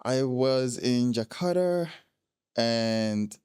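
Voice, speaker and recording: A man's voice: medium pitch, animated, extremely slow, a little mellow, clean tone, dry recording, studio quality. A young man from Ghana, a guest telling his travel stories in a casual interview, laughing often.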